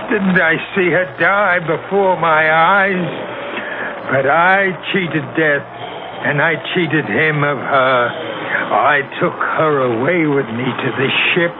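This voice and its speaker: An actor playing an obsessed, murderous sea captain with a fervent, grandiose delivery in a radio drama. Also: male voice, a little high, slow, background noise. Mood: anguished denial. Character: obsessed, guilt-ridden, murderous sea captain devoted to his wife. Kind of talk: 1970s radio drama acting. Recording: muffled old radio broadcast with loud music.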